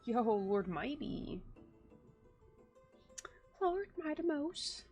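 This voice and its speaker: A woman's voice: on the high side, sing-song, extremely slow, darkish tone, distant mic, faint music behind. A woman streaming a game playthrough, reacting casually with playful asides and sing-song nonsense sounds. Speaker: streamer playing through a game.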